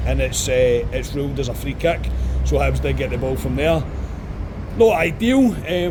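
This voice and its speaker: Hoarse man, blunt match verdicts. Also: fairly high pitch. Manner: blunt, opinionated. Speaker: podcast host. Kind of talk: casual football chat. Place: outdoors on a street after a match. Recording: outdoor street noise behind.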